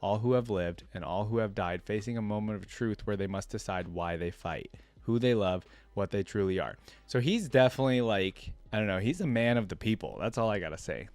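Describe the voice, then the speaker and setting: Male reaction host, lowish voice, informal and colloquial delivery between storytelling and off-the-cuff commentary, very little room sound. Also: a little fast, few pauses, darkish tone, no room sound. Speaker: reaction video host reading a story aloud. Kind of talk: reading a fantasy story aloud with casual off-the-cuff commentary.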